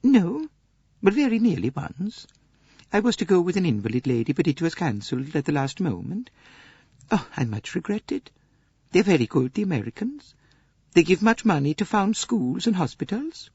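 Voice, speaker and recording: A man voicing a kindly, pious middle-aged Swedish woman with a Swedish accent, speaking softly and earnestly in formal phrases. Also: sits high, big pitch swings, normal speed, slightly rough, no echo, pitched above the performer's own voice, heavily acted. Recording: dull dry studio recording.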